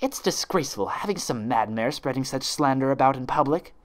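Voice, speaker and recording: A man's voice: medium pitch, lively intonation, fairly quick, pitched above the performer's own voice, natural voice. A man voicing a haughty, refined female unicorn with a pompous, dismissive manner. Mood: indignant.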